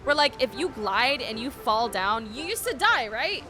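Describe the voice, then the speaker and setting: A woman's voice: a little high, very animated, moderate pace, music in the background. A woman streamer chatting casually as she reacts to a game. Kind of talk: casual gameplay reaction chat.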